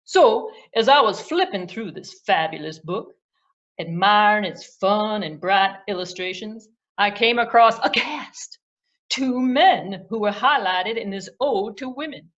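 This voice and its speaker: A woman's voice: mid-range voice, lively intonation, unhurried, fairly bright tone, muffled recording. A woman voicing a self-important, theatrical male puppet Broadway critic in a grandiose manner for a children's puppet show. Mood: pompous, admiring. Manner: grandiose, dramatic, with repeated phrases.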